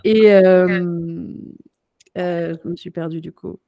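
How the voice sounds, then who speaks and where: Chatty woman, mellow, close dry sound. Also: lowish voice, steady tone, slow, gravelly, dry recording. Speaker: fortune-teller and trainer introducing herself. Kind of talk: casual self-introduction in an online group. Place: online video call.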